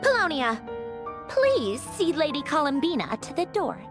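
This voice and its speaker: A woman's voice: high-pitched, wildly swooping pitch, moderate pace. A woman voicing a haughty, impatient, sarcastic doll-like mechanical noblewoman in a video game, prim and biting. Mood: curt. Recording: music behind voice.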